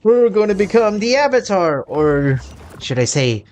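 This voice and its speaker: Slow, halting game streamer. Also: mid-range voice, sing-song, a little mellow. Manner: halting, thinking aloud. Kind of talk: casual game reaction commentary.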